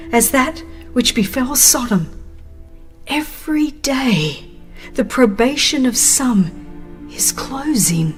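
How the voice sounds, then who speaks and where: A woman with a fairly high voice reads scripture-style narration very slowly. Her delivery is solemn and expressive, with a reverent weight on the phrases. Soft music plays underneath. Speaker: audiobook narrator. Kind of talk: dramatized scripted narration of religious book.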